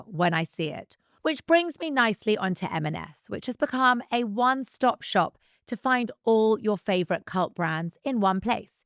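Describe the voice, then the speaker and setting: A woman's voice: mid-range voice, a bit bright, dry recording, clean studio sound. A woman reading a scripted sponsor ad in an upbeat, chatty way. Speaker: beauty director reading an ad. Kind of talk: scripted ad read.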